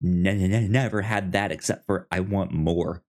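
A man hosting a casual review podcast, speaking in a halting way with stammered, repeated word starts. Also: low voice, steady tone, darkish tone. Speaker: podcast host.

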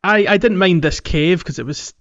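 A man's voice: sits high, normal speed, a bit bright, no echo, highs cut off. A man co-hosting a podcast, chatting casually about a video game in an agreeable, hesitant, giggly way. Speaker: podcast co-host.

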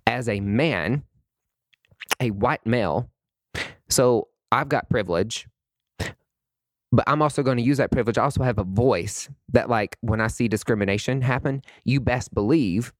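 A man's voice: lowish voice, dry recording. A young man giving a sassy, emphatic rant to the camera, calling people honey and sweetie. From American South. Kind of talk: impassioned direct-to-camera rant.

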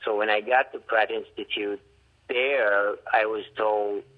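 A man's voice: on the low side, fairly even intonation. Haitian-accented man on a tinny phone line. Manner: reflective, halting with restarts, acts out quoted dialogue. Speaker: artist and art professor. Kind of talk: storytelling interview over the phone.

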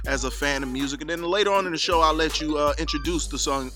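An upbeat male radio show host with a bright, slightly high voice. He hypes his guest and often says his questions twice. Faint music plays underneath. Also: fast talker. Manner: hyped, repeats his questions. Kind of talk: interview hosting.

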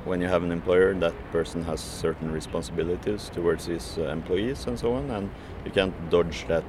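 A man's voice: sits low, fairly quick, noisy background. A man who works as a food courier, answering interview questions hesitantly with many fillers and restarts.

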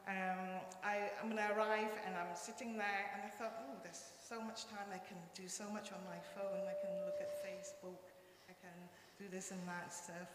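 A woman's voice: mid-range voice, steady tone, moderate pace. A woman from Malaysia whose first language is Cantonese shares her story before a congregation, hesitant and earnest, full of little ums. Her voice carries a strong, long echo through the church hall, with faint noise behind it. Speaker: interpreter, church member. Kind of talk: casual testimony on stage.

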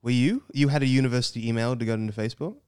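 A man hosting a casual interview, easygoing and quick to agree with his guests. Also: mid-range voice, steady tone, darkish tone, clean tone. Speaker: podcast host.

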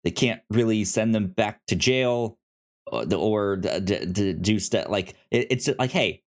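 Low-voiced man, halting podcast talker. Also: lively intonation. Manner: halting, stammering delivery. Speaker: podcast co-host reviewing films. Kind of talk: casual movie review chat.

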